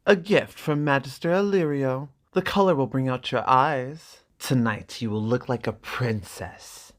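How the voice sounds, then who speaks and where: A man voicing a smooth, flattering wealthy merchant in a dramatic reading of a graphic novel. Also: medium pitch, unhurried, lightly acted. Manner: flattering, grandly courteous.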